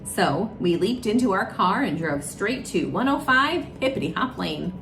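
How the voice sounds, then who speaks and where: A woman's voice: mid-range voice, very animated, a little slow, a bit bright. A woman storyteller narrating a children's storybook aloud. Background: music.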